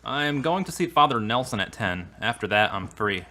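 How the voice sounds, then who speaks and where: A man's voice: medium pitch, lively intonation, moderate pace, sharp tone. A man playing an earnest, polite religious believer in an amateur audio drama. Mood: casual.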